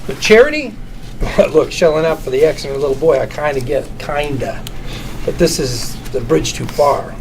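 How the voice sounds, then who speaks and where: A man's voice: fairly high pitch, animated, normal speed, natural voice. A man playing a glib, nervous lawyer with wheedling, stammering patter at a script table read. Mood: uneasy. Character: glib, nervous, fast-talking male lawyer. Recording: noisy room ambience.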